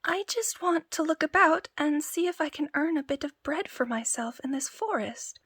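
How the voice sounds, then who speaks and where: A woman's voice: high voice, sing-song, a little slow, no room sound, clean studio sound, pitched above the performer's own voice. A woman voicing a small, boastful male tailor with an eager, bragging voice. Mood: timid.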